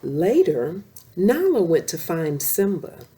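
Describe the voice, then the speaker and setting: A woman's voice: fairly low pitch, sing-song, takes it slowly, dark tone. A woman hosting a story time, reading aloud expressively for young children.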